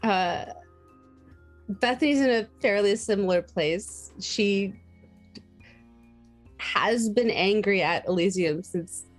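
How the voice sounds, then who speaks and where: A woman playing a tabletop roleplaying game, explaining her character casually in a halting, thoughtful way. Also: medium pitch. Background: music.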